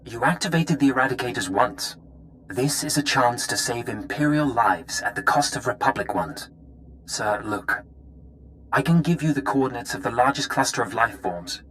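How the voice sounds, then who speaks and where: A man playing a pragmatic intelligence officer in a video game, talking in a brisk, businesslike, urgent way. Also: medium pitch, animated, a bit bright, a little hiss or hum, lightly acted, poor audio. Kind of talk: scripted video game dialogue.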